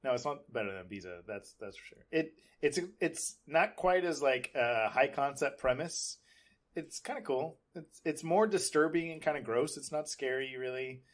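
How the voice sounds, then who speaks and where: A man's voice: mid-range voice, moderate pace. A man hosting a podcast, chatting casually about film and TV in a rambling, hesitant way. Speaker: podcast host.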